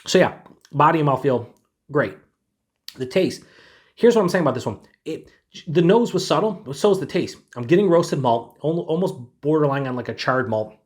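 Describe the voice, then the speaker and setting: A man's voice: a little high, lively intonation, moderate pace. A man with a stuffed-up head cold giving a laid-back, rambling beer review to camera, full of fillers. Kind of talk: casual solo review to camera.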